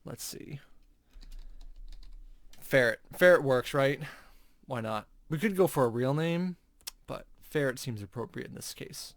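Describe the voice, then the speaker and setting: A man's voice: medium pitch, animated, a little slow, vocal fry, pauses often, dry recording. A man hosting a let's play, chatting casually with rambling asides and reading game text aloud. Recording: music playing behind the voice. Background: video game background music.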